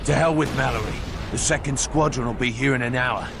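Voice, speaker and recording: A man's voice: medium pitch, brisk, gravelly, noisy background, lightly acted. A man playing a bitter, insubordinate soldier in a video game, with a British accent and a blunt, sarcastic manner. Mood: surly, sarcastic. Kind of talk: video game dramatic acting.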